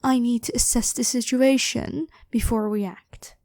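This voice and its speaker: A woman's voice: fairly high pitch, fairly even intonation, measured pace, darkish tone, dry recording, studio quality. A woman playing an evil, haughty ancient Egyptian pharaoh who makes theatrical demands in a scripted ASMR roleplay. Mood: haughty, commanding. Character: evil, imperious ancient Egyptian pharaoh queen awakened from slumber.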